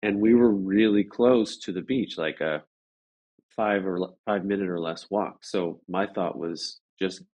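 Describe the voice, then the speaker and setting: A man speaking as an interview guest, telling a story casually in a halting, rambling way with repeated phrases. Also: deep voice, a little mellow.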